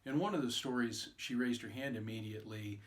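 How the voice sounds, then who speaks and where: A man's voice: medium pitch, a little fast. A man, an author, informally introducing and narrating his own short story.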